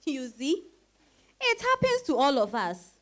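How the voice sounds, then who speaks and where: A woman's voice: sits high, huge pitch swings, measured pace, heavily acted. An actress with a Ghanaian accent playing a realistic, frank woman friend in a dramatized reading, emphatic and sisterly in her chiding. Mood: chiding, knowing.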